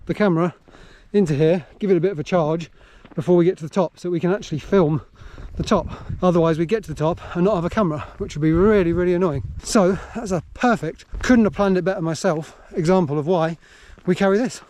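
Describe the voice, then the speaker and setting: A man's voice: high voice, fast talker, hardly any pauses, darkish tone. Jokey English man, outdoor hiking vlog. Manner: jokey, self-mocking. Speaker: vlogger. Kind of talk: casual vlog chat while hiking. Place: outdoors on a hill walk. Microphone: handheld camera.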